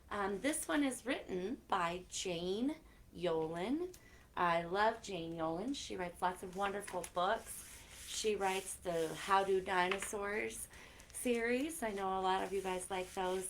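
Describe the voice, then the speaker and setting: A woman's voice: mid-range voice, lively intonation, a little slow. A woman hosting a children's library story time, reading picture books aloud expressively with chatty asides, on a noisy live-stream recording.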